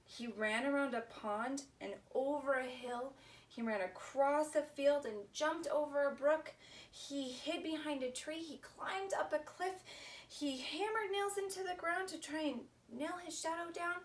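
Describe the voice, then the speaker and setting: A woman leading a children's story time, reading expressively in simple phrases and asking young listeners questions. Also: high-pitched. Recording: distant mic with faint background noise.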